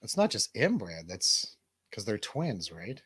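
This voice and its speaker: A man's voice: fairly low pitch, wildly swooping pitch, slow, fairly bright tone, breathy edge, no echo. Joking American man, dry close sound. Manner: joking, rambling, profane. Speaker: DJ co-hosting a comedy radio show. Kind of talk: casual comic banter.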